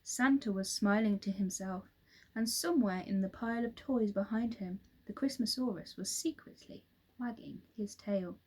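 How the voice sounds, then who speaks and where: A woman teacher reading a children's story aloud in a slow, expressive storytelling voice. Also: mid-range voice, slightly rough, low-quality recording.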